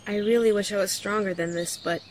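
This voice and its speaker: A young woman playing a weary student and mother-to-be, gently and earnestly reading out a letter in an audio drama. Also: mid-range voice, fairly even intonation, a little fast, slight background noise, close to an everyday voice. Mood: sad, tender. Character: weary pregnant young student writing to her father. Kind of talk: letter read aloud in horror audio drama.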